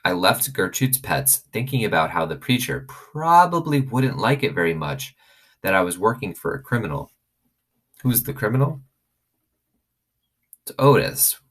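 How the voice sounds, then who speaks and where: A man reading a children's novel aloud as an expressive storyteller. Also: fairly low pitch, unhurried, long pauses.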